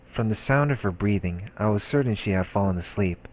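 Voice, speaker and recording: A man reading a visual novel aloud as a solemn, hushed narration. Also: fairly low pitch, lively intonation, brisk, rough recording. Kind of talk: scripted narration read aloud. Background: game music.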